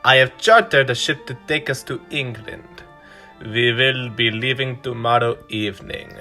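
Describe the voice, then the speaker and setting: A man acts a courtly, sinister vampire lord for a radio play. His delivery is slow and deliberate, with formal, theatrical phrasing. The tone is bright and forceful. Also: medium pitch, faint music behind. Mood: courtly, ominous. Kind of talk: radio drama acting.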